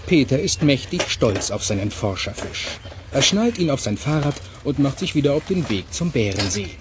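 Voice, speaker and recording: A man narrating a children's audio play in a clear scripted storytelling style. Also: mid-range voice, very animated, normal speed, noisy background.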